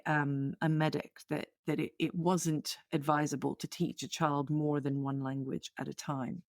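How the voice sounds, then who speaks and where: Low-voiced reflective woman, podcast guest. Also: dry recording, clean studio sound. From Venezuela. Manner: reflective, often restarts and repeats words. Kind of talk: candid conversational interview.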